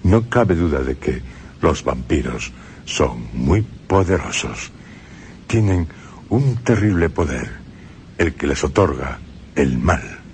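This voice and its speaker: Male narrator, Spanish accent, radio studio; deep voice, slow and measured, ominous tone. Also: big pitch swings, a little mellow, slight background noise. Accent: Spain Spanish.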